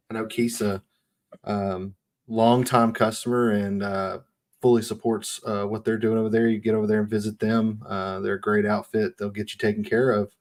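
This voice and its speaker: A man hosting a podcast in a casual, unscripted way. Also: on the low side. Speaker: podcast host.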